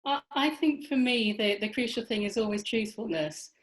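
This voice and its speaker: A woman's voice: fairly high pitch, moderate pace, rough recording. A woman filmmaker speaking reflectively as a guest in a panel discussion.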